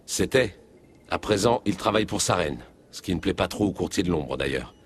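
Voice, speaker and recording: A determined alien officer from a video game, speaks confidently in a low-pitched voice. The delivery is matter-of-fact, with faint ambient noise behind it. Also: male voice, expressive, fairly quick. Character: keen, determined male alien Turian police officer.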